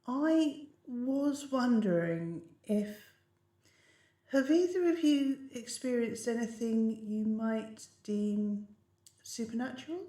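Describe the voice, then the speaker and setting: A woman's voice: fairly high pitch, expressive, slow pace, warm tone, pitched above the performer's own voice. A woman voicing a proper, dry-witted female university teacher. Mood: formal.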